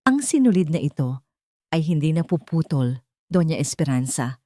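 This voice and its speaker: A woman narrator plays a wronged young wife with a Filipino accent, dignified and set on revenge. She speaks low and measured, with cold menace, in a dry-sounding story recording. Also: sing-song, warm tone, no echo. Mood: hard, resolute.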